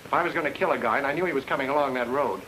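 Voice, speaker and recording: A noisy old radio recording carries a quick-witted amateur detective, played by a man. He has a medium-pitched voice and reasons aloud in a wry, casual way, in classic radio noir style. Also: pushed and bright, natural voice. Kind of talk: old-time radio detective drama acting.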